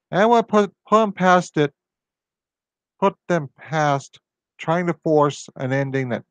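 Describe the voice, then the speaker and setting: Guy ranting solo on his channel, goes off on tangents, middle pitch, dead dry sound. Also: dry recording. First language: not Japanese. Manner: rambling, exasperated, goes on tangents. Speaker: video host. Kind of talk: casual solo commentary.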